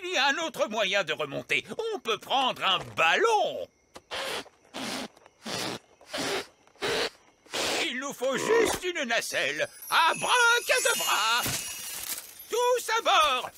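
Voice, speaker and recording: An actress voicing a brisk, breezily reckless magical nanny in a children's cartoon, declamatory, with music underneath. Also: female voice, high voice, huge pitch swings, background noise, heavily acted. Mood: brisk, commanding. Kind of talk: animated children's cartoon dub.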